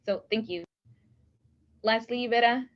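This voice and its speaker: Gentle, informal woman moderator, muffled call audio. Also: fairly high pitch, measured pace. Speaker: panel moderator. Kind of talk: moderating a panel on a video call. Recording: dull video call audio.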